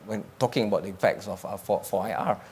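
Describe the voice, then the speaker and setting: A man's voice: fairly low pitch, quick pace, a little mellow, a little hiss or hum. A man moderating a panel discussion, asking long, probing questions. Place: conference panel stage.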